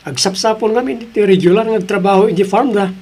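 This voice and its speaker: An easygoing, supportive male friend in an Ilocano radio drama. His voice is notably high and rough, with an Ilocano Filipino accent. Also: fast, a little mellow. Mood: helpful. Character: helpful male friend of the husband, easygoing adviser.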